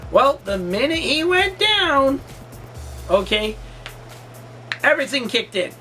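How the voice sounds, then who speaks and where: Male, 40s, casual storytime vlogger. Bright, forceful delivery, rambling and repetitive, faint music underneath. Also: extremely high pitch, big pitch swings, slow pace. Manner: rambling, emphatic, repeats himself.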